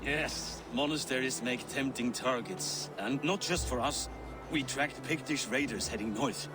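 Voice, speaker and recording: An actor playing a proud, brash Viking warband leader in a video game, with a bold, blunt, theatrical delivery. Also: male voice, mid-range voice, measured pace, lightly acted. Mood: sardonic. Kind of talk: dramatic video game cutscene acting. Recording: game audio with music underneath.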